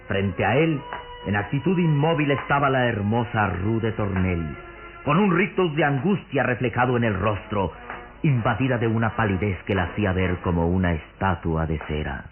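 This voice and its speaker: A solemn narrator of an old gothic radio serial. His very low voice builds suspense theatrically. The sound is dull and boxed-in, over prominent music. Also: lively intonation, moderate pace. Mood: suspenseful. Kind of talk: dramatic scripted radio narration.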